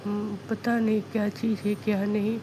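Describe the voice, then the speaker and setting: A woman with a sore, hoarse throat giving an earnest devotional testimony, repeating thanks in halting phrases. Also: medium pitch, level tone, over music, rough recording.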